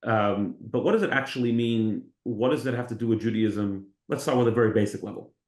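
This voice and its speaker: A man's voice: medium pitch, fairly quick. Man co-hosting in an echoey room. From Australia. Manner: informal, posing questions. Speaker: co-host of a religious discussion show. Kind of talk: conversational religious discussion.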